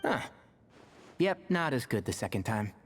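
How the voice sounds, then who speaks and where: A man voicing a practical, gently sardonic young video game character with a measured, dry way of talking. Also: mid-range voice, sing-song, a little hiss or hum, natural voice. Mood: composed, practical. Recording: long hall reverb, clear music behind.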